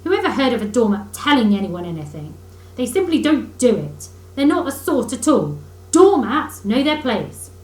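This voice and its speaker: A woman's voice: fairly high pitch, very animated, normal speed. A woman voicing a grumbling, literal-minded male mole from a children's storybook, with a pettish, complaining manner. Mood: pettish, scornful. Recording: roomy echo, faint background noise.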